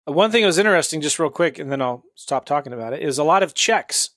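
A man's voice: on the high side, lively intonation, fast talker, a bit bright, dry recording, clean studio sound. Upbeat chatty male podcast host. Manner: upbeat, chatty, laughs easily. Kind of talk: casual podcast interview.

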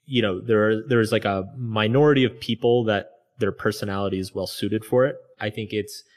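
Man, low voice, guest author, candid reflective chat, filler-heavy. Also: steady tone, normal speed. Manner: reflective, loose, many "like" fillers. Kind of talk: candid conversational interview.

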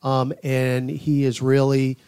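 A man's voice: mid-range voice, monotone, takes it slowly, darkish tone, clean tone, no echo. Male sports analyst, close dry sound. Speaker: radio play-by-play announcer as sports panelist. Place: podcast studio.

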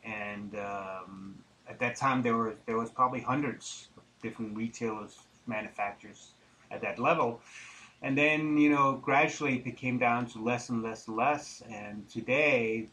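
A middle-aged man from Denmark, talking conversationally and haltingly as an interview guest. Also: medium pitch, sharp tone, rough recording. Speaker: interview guest, tobacco businessman. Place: studio, live interview. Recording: clear background noise.

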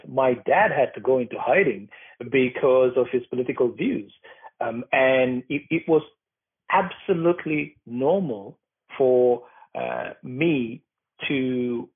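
A man's voice: mid-range voice, a little slow, breathy edge. A man from Sri Lanka, a documentary maker recounting his past as an interview guest, thoughtful and full of fillers and restarts. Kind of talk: interview answers, personal account. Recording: tinny phone-like line.

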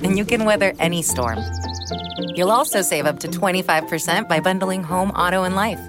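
A woman's voice: on the low side, brisk, over loud music. A woman announcer reading a scripted commercial.

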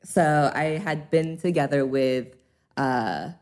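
A woman's voice: sits low, slow pace, darkish tone, soft background music. A young woman with an American accent, raised in Hong Kong, chatting casually as an interview guest and laughing often. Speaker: interview guest, pole dance instructor.

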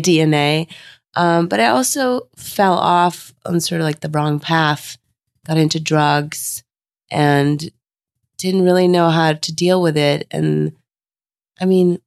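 Woman guest from Sweden, low creaky voice, candid storyteller, a bit under the weather. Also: no room sound, clean studio sound. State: unwell, lower voice. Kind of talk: casual interview conversation.

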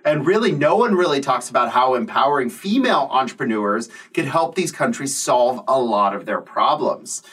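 A man's voice: medium pitch, expressive, moderate pace, hardly any pauses, fairly bright tone, breathy edge, recorded from a distance. A man hosting an interview, raising points thoughtfully and asking questions. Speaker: interview host. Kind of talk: interview conversation.

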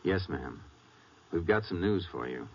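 An actor playing a calm, fair-minded Texas Ranger with an American accent and a measured, matter-of-fact, reassuring manner in a 1950s radio drama. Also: male voice, low voice, heavily acted. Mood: gentle, firm. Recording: muffled, noisy vintage radio recording.

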